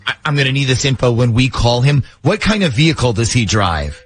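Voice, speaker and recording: A man's voice: mid-range voice, expressive, fairly quick. A man hosting a morning radio show, talking through a prank call segment.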